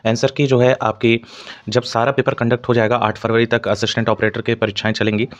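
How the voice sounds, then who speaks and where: A man's voice: mid-range voice, level tone, a little fast, dull audio. A man explaining exam information to students as a channel host, in an explanatory way with repeated stock phrases.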